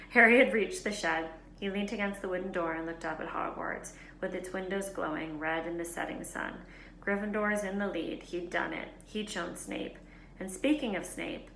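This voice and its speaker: A woman teacher reading a children's novel aloud in a lively, expressive way, fixing small slips as she goes. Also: lowish voice, vocal fry. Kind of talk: storybook read-aloud narration. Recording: roomy echo, faint background hiss.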